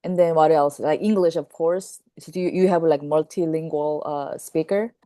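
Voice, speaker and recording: A woman's voice: deep voice, fairly even intonation, moderate pace, mellow, no room sound, clean studio sound. A woman interviewer who asks questions and gives frequent encouraging murmurs of agreement. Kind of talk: conversational interview.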